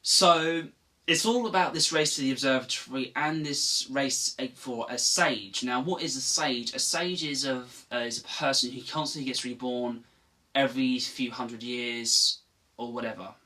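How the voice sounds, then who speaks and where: A man's voice: mid-range voice, sharp tone, distant mic, low-quality recording. A man casually explaining video game lore as a channel host, rambling with fillers and correcting himself as he goes. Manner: rambling, self-correcting, offhand.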